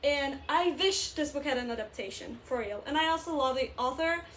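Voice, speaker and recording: A young woman with a Turkish accent talks through her favorite books in a chatty, eager way, often restarting her sentences. Her voice sits high and light. A faint hiss runs under the recording. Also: animated, a little fast, a bit bright. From Turkey. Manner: chatty, enthusiastic, with frequent fillers and self-corrections. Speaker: booktube vlogger. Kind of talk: casual book recommendation chat.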